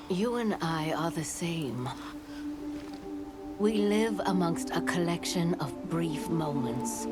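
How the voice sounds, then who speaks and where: Grave all-knowing lore keeper lady from a video game, deep and unhurried, loud music under her. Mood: calm, resigned. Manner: measured, grave, formal storytelling delivery. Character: solemn, all-knowing female lore keeper, calm and detached. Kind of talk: cinematic video game dialogue.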